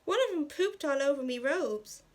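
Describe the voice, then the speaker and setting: A woman's voice: high-pitched, lively intonation, normal speed. Woman putting on an irritated Irish teenage witch. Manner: irritated, chatty exclaiming. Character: irritated teenage witch girl grumbling about bats.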